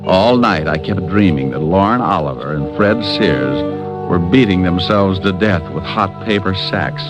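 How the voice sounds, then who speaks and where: A man's voice: deep voice, expressive, measured pace, over loud music. An actor playing a wisecracking male private detective who narrates, in a 1940s radio drama. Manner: wisecracking, sardonic.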